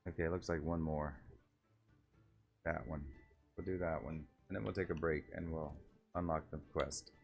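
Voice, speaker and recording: A man giving casual gameplay commentary as a streamer, quietly, half thinking aloud. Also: rumbling low, fairly even intonation, moderate pace, vocal fry, long pauses, dull audio, soft background music. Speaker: game streamer.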